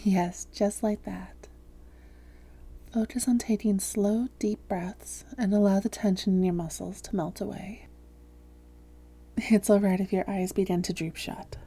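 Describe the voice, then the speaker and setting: A woman playing a calm female vampire therapist in a roleplay audio, speaking softly, gently and in a measured, reassuring way. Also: medium pitch. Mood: soothing, hypnotic. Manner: measured, gentle, reassuring, breathy hushed phrases. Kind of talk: soft-spoken roleplay audio, therapist addressing listener.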